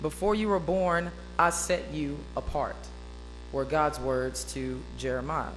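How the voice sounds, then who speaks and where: A woman, giving an earnest prepared speech at a podium in a meeting hall with a loud, big voice. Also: steady tone, a little slow, soft background music. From East Arkansas, USA. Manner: loud, booming voice; emphatic, earnest. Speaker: speaker at an interfaith devotional.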